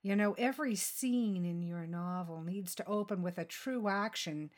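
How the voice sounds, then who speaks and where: A woman's voice: medium pitch, moderate pace. Chatty woman hosting a podcast interview. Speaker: podcast host. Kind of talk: conversational author interview.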